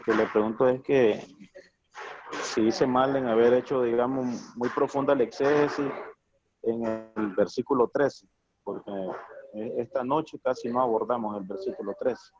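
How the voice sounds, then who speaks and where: A man's voice: mid-range voice, steady tone, moderate pace, a little mellow, dull audio, a little hiss or hum. A man in an online Bible study hesitantly asking a question over a video call. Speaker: study participant.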